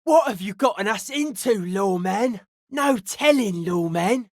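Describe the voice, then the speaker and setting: A young counterculture club kid for a video game. He talks slowly in a slangy, deadpan drawl, earnest and easily spooked. The sound is dry, with no room around it. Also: sits high, no room sound. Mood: spooked, suspicious.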